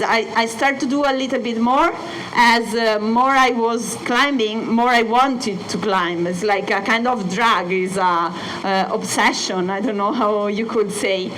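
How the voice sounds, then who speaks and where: A young Italian woman with an Italian accent, presenting as a mountain guide and pausing often with filler sounds. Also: on the high side, fairly bright tone, slight background noise, poor audio. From Italy. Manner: many filler sounds and pauses. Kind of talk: hesitant presentation talk. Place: hotel event space.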